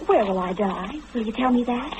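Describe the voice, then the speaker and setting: A woman's voice: on the high side, normal speed, background noise, lightly acted. An actress playing a naive, childishly curious secretary in an old-time radio drama, pleading and whiny as she pesters her boss. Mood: pleading, pestering.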